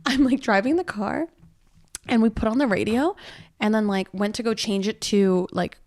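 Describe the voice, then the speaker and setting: A woman with a Canadian accent chatting casually and telling stories in an animated way as a podcast co-host. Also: medium pitch, moderate pace. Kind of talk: casual chat.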